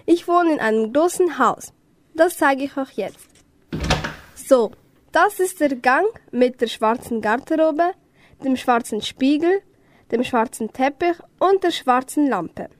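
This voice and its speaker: A young woman playing a scatty, quick-tempered witch in a children's amateur radio play. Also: high-pitched, lively intonation, noisy background. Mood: chatty, proud.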